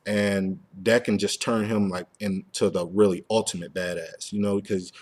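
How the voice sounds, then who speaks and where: A man with a fairly low voice hosts a fan podcast. He talks in a loose, informal way and drops in slang as he goes. The delivery is that of casual conversation. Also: level tone, moderate pace, darkish tone. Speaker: podcast host. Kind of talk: casual fan discussion of an animated series.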